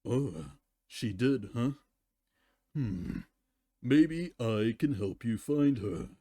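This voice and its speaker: Man playing a smug, slow-talking pony princess. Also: medium pitch, lively intonation, mellow, dry recording. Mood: feigned helpfulness. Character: smug, gleefully menacing female pony princess.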